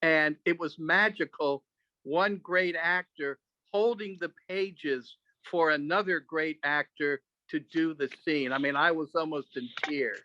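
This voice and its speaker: A man with a very bright, somewhat high voice shares memories on a group video call. He speaks earnestly with halting pauses, and the sound is dull and close, with no room in it. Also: dry recording. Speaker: speaker sharing memories at an online club meeting.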